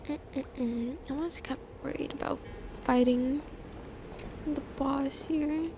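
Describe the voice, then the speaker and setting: A woman's voice: high voice, fairly even intonation, slow pace, noisy background. A woman streaming a game with casual commentary, murmuring short reactions and playful interjections to herself. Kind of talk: casual live gameplay commentary. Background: game music.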